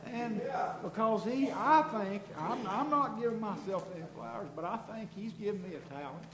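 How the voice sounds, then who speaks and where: A man giving an earnest, halting church testimony, his voice nasal and congested from illness and starting to give out. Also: high-pitched, lively intonation, a crowd in the background. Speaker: congregation member giving testimony. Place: church sanctuary. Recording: echoey room with background noise.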